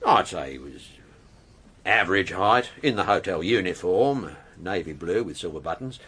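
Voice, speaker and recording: An actor playing a blunt, impatient middle-aged gentleman in a radio drama. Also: low voice, lively intonation, moderate pace, husky, full character voice. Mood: recalling.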